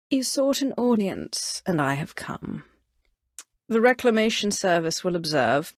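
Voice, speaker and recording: A woman's voice: medium pitch, no echo, clean studio sound. A woman voicing a haughty, ruthless Sith sorceress lord in a video game, with imperious, coldly menacing delivery. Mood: stern, questioning. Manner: imperious, cold, menacing, deliberate diction. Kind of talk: dramatic video game voice acting.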